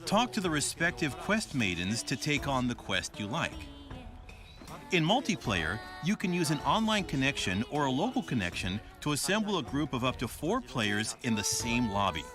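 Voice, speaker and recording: Male voice-over, smooth explanatory announcer, animated delivery, music bed underneath. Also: medium pitch, normal speed. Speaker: trailer narrator. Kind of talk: scripted promotional narration.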